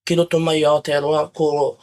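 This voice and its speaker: A young man from New Zealand giving sincere, measured interview answers with some hesitations. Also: a little high, flat delivery, a little mellow. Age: young adult. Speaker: youth guest.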